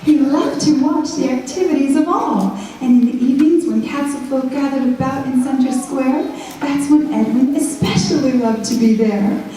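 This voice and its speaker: A woman telling children a rhyming fairytale in a dramatic, theatrical, expressive style. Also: high voice, normal speed, slight background noise. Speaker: children's storyteller. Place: school show before children. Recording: echoey hall, distant mic, faint music.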